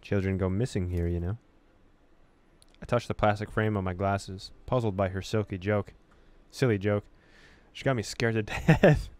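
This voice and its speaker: A man's voice: on the low side, moderate pace, darkish tone, dry recording. Man reading a game story aloud dramatically. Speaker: gaming video host. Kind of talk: reading game subtitles aloud with casual reactions.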